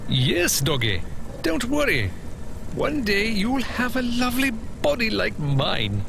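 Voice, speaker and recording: An actor playing a naive, boastful android with a pompous, snobbish manner in a video game. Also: male voice, sits high, huge pitch swings, slow, vocal fry, background noise, full character voice. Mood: airy, self-assured. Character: naive, boastful android head dreaming of wealth.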